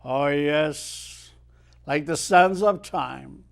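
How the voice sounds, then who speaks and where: An Irish man in his eighties, a retired mariner guest telling stories and reciting his own poetry in a measured way. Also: fairly high pitch, creaky. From Ireland. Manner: measured storyteller who declaims verse with swelling emphasis. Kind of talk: unhurried storytelling and poetry recitation.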